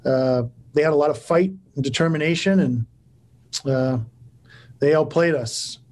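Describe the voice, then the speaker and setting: A man's voice: a little high, measured pace. A man in his forties, a hockey coach answering postgame press questions over a laptop video call from his office, plain-spoken with frequent restarts and fillers. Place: office with cinder-block walls.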